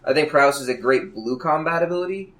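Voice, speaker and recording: A man's voice: medium pitch, expressive, fast, a bit bright. A man co-hosting a casual hobby podcast, talking in an enthusiastic, earnest way. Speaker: podcast co-host.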